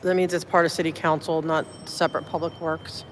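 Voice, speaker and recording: A woman's voice: deep voice, flat delivery, brisk. A woman livestreaming from a public building, chatting loosely and thanking viewers as she goes. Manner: rambling, thinks aloud, thanks viewers. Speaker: livestreamer filming city meetings. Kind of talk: casual livestream chat. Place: public building hallways and elevators. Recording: noisy phone stream.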